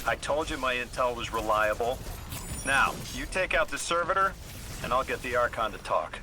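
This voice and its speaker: A man's voice: mid-range voice, animated, moderate pace, background noise, natural voice. An actor playing a cocky, driven young male intelligence operative in a video game, urgent and confident. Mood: focused. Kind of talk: video game dialogue acting.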